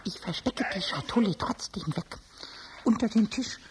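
A man playing a cheeky, stubborn, childish little kobold in a children's radio play, squeaky and raspy, excitable, stammering and repeating words. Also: normal speed, a little hiss or hum. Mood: sneaky, straining.

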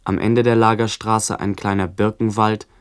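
A man's voice: fairly low pitch, level tone, moderate pace, smooth. A man reading scripted documentary narration for a radio play in a matter-of-fact way.

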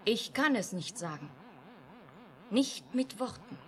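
A woman's voice: mid-range voice, lively intonation, slow pace, a bit bright. An actress playing an emotionless artificial young woman in a German radio drama, asking literal questions and making detached statements. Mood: cool, matter-of-fact.

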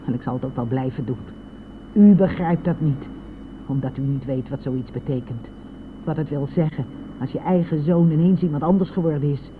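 A woman's voice: deep voice, normal speed, close to an everyday voice. An elderly mother, acted by a woman in a radio drama, stubborn and aggrieved, pressing her points insistently and sometimes sinking toward a whisper. The sound is narrow and phone-like with a faint layer of noise. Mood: bitter, aggrieved. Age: older. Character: anguished, stubborn elderly mother of a grown son. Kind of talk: radio play acting. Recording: noisy phone-like old radio play recording.

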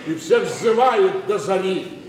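A man's voice: slow, recorded from a distance. An actor playing a pompous, blustering older nobleman scolding his household in classic stage verse. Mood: mocking. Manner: booming, scolding, theatrical. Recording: echoey stage from afar, faint noise.

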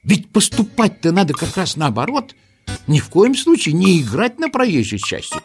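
A man narrating a children's story in an expressive, theatrical and playful way, with rhetorical asides. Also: high voice, moderate pace. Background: music.

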